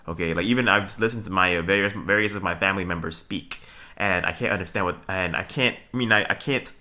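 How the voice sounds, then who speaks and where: A young man from Brooklyn talks straight to his viewers. His voice sits very low but has a bright, forceful edge. He rambles, stops and restarts, and keeps checking in with "okay?" as he chuckles between points. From Brooklyn, New York. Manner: halting, many fillers and restarts, addresses viewers with "okay?". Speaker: vlogger. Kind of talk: rambling solo monologue.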